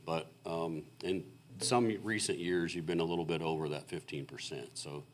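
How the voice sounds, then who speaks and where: A man presenting as a consulting engineer at a city board meeting, explaining in a halting way with many fillers while he is losing his voice. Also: deep voice, fairly even intonation, a little hiss or hum. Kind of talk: technical presentation at a public meeting. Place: city council meeting room.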